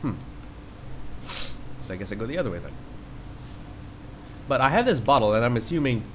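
A man with a stuffed-up nose from a cold rambles through casual gameplay commentary, reacting to the screen as he plays. His pitch jumps around a lot, and a faint hiss sits under the voice. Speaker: let's play host.

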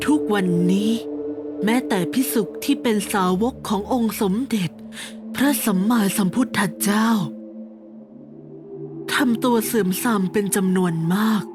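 A woman voicing an ancient, benevolent guardian spirit in an animated horror story, speaking in a stately, solemn way over music. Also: mid-range voice, a little slow, long pauses, close to an everyday voice. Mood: solemn gratitude. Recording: clear music under the voice.

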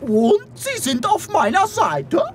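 A woman's voice: very high voice, very animated, takes it slowly, music in the background, exaggerated voice. A woman playing a passionate, sentimental chicken creature with rebellious ideals in a comic sci-fi radio play. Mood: conspiratorial, urgent. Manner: melodramatic, impassioned.

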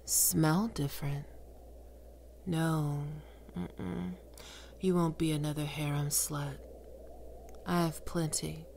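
Low voice, very slow, fry-heavy, domineering female demon, sultry whispers, ASMR roleplay, woman acting. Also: steady tone, darkish tone, slight background noise, exaggerated voice. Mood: commanding. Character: seductive, domineering female demon queen of lust.

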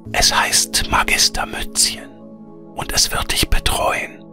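A gentle, kindly male air spirit from a storybook, as a narrator puts him on. Every word comes as a soft, unvoiced whisper, hushed and a little distant. Faint music drifts behind. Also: takes it slowly.

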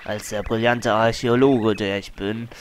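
Ein Mann spielt einen aufgeblasenen Abenteurer. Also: male voice, on the low side, steady tone, moderate pace, slight background noise, natural voice. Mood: pompous. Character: pompous male explorer archaeologist, boastful. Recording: faint game music behind.

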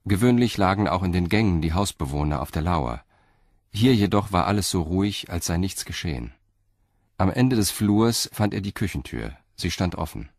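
A man's voice: low-pitched, expressive, moderate pace, no echo, studio quality. A man reading scripted narration for an audio drama.

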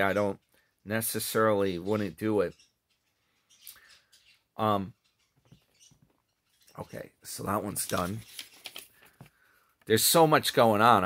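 A man's voice: lowish voice, a bit bright. Chatty middle-aged man rambling on webcam. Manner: rambling, self-correcting, chatty. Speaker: commentary vlogger. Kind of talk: casual commentary on another channel's videos. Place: small room at home. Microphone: webcam or phone close up.

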